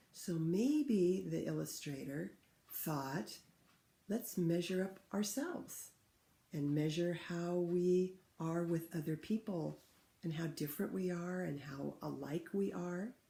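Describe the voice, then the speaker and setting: A woman reading a picture book aloud to children in an expressive, teacherly way, pausing to ask them questions. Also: lowish voice, vocal fry. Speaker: read-aloud host for children. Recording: roomy, echoing room sound.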